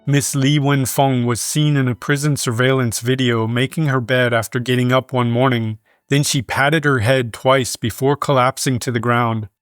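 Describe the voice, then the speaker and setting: A man's voice: medium pitch, steady tone, no room sound, soft background music. Man reading scripted news in a dry studio. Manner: measured, formal reading. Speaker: news reader.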